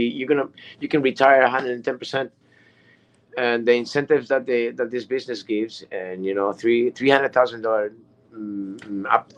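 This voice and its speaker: Thirties man, casual testimonial, halting and repetitive delivery. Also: mid-range voice. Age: 30s. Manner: rambling, repeats words and restarts often. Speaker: online business member.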